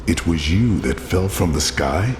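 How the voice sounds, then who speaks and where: A man's voice: deep voice, animated, measured pace, noisy background. A man voicing a stern, resolute hunter in a video game. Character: stern male hunter on a quest.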